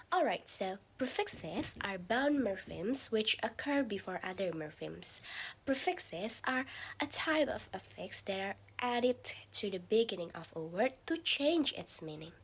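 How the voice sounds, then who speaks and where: A woman talks through a class presentation at an unhurried pace. Her pitch moves up and down a lot, and some words come out soft and whispery. Faint noise sits behind her. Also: big pitch swings, poor audio. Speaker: student presenter.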